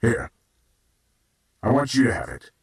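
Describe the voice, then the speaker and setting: A man's voice: medium pitch, big pitch swings, a little slow, creaky, a little hiss or hum. A man playing a kindly, encouraging robot mentor medic in an audio drama. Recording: roomy, distant from the mic.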